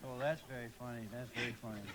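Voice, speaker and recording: A man's voice: mid-range voice, fairly even intonation, racing, background noise, close to an everyday voice. An actor playing a despairing, sardonic family man in a live radio play, wry and weary, sometimes stammering. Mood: wary, sardonic.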